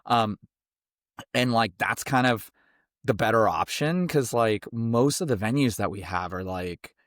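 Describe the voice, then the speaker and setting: A man's voice: on the low side, animated, unhurried, a bit bright, no room sound, clean studio sound. A young man from a band, a guest on a podcast, talking in a rambling, laid-back way full of fillers. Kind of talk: casual interview chat.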